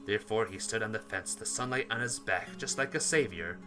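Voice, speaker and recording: A man's voice: on the low side, normal speed. A man streaming a game, reading visual novel text aloud with casual asides and stumbling now and then as he reads. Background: soft plucked guitar music.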